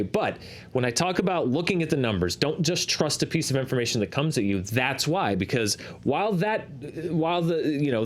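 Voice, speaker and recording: A man hosting a talk show, explaining figures in a measured, conversational way. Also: fairly high pitch. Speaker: host of a box office chart show. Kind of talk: conversational analysis of box office figures.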